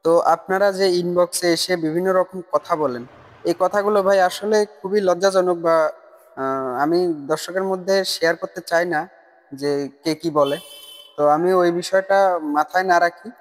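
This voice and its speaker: A man's voice: high-pitched, normal speed. A man giving a casual, insistent explanatory voice-over for a tutorial video. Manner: insistent, repetitive, addressing viewers as brother. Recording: long reverb on the voice. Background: voice-over recorded separately from the screen capture.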